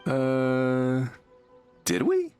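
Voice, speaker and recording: A man's voice: mid-range voice, huge pitch swings, extremely slow, darkish tone, smooth, soft background music, full character voice. A man voicing a vacantly cheerful, carefree fisherman in a video game, airy and unbothered. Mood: vague, blissful.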